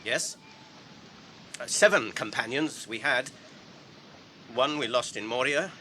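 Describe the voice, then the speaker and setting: Casting: earnest, dignified English halfling traveller, bright forthright voice, formal radio-drama diction, faint noise behind. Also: male voice, mid-range voice, poor audio. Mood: guarded, formal. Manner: measured, articulate, formal. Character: earnest, courteous hobbit traveller, guarded and dignified. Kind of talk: BBC radio drama acting.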